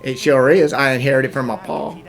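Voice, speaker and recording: Man acting a creaky-voiced country farmer. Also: mid-range voice, little pitch movement, brisk, natural voice. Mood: earnest. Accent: rural country. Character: humble, anxious male country wheat farmer. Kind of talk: game fan-dub acting. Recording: music behind the voice.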